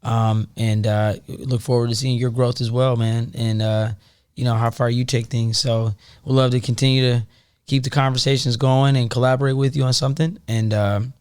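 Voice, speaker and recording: A man's voice: mid-range voice, little pitch movement, normal speed, darkish tone, clean tone. A man appearing as the guest on a podcast, talking in an upbeat, gracious way and thanking the host politely. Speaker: guest, network founder. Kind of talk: casual podcast interview.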